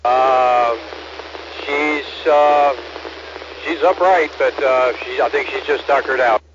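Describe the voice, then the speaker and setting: A man's voice: mid-range voice, fairly even intonation, slow pace. A man working as a medical station operator, making procedural reports over a two-way radio. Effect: two-way radio transmission. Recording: muffled noisy two-way radio audio.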